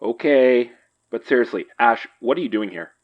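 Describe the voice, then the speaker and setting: A man's voice: mid-range voice, animated, moderate pace, muffled recording, close to an everyday voice. A man playing a wry, level-headed detective partner in an audio drama, casual and natural. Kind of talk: audio fiction podcast acting.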